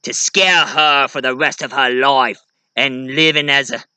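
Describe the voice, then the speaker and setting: A man talking casually and ramblingly about stories he wrote, halting and repeating phrases as he goes, with an animated storyteller's way and the odd "eh" tagged on. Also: mid-range voice, clean tone, dry recording. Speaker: video creator and amateur writer.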